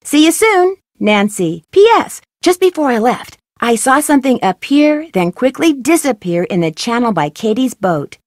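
A woman's voice: a little high, big pitch swings, normal speed, hardly any pauses, dry recording, studio quality. A woman voicing a curious young female detective in a video game, asking questions in a polite, earnest way. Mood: narrating. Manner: inquisitive, bright and earnest. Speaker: voice actor for a game heroine.

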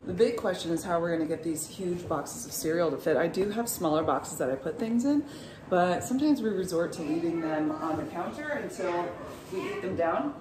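A woman's voice: fairly low pitch, animated, a little fast, a crowd in the background. A woman in her thirties chatting to her viewers while she puts away groceries in her home kitchen, filmed on a phone. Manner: chatty, asks viewers questions. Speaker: vlogger. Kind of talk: casual chat to camera. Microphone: handheld phone, often set down at a distance. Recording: echoey kitchen, mic far off, noisy.

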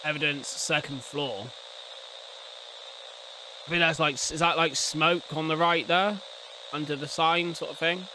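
A man's voice: a little high, fairly even intonation, measured pace, pauses often, background noise. A young man giving casual, slangy reaction commentary with blunt swearing and muttered asides into a close desk mic. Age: young adult. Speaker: reaction video host. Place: indoor room at home. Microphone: close desk condenser mic, headset on.

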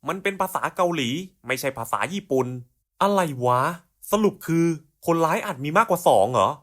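A man's voice: fairly high pitch, lively intonation, moderate pace, fairly bright tone. A man voicing scripted cartoon puzzle skits in casual, slangy, coarse buddy-style banter.

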